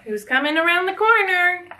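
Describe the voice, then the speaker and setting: A young woman storyteller reading a picture book aloud to children, expressive and full of wondering questions. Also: very high voice, a little hiss or hum. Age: young adult. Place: small indoor room.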